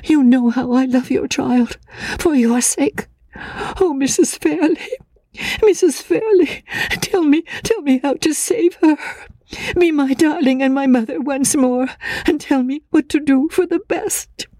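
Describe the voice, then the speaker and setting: A woman voicing a fragile, anxious, childlike young woman in a dramatic audiobook, soft and husky, hesitant and pleading. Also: high voice, unhurried, no room sound, voice pushed up. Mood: anguished pleading. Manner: pleading, hesitant, naive, with repeated words.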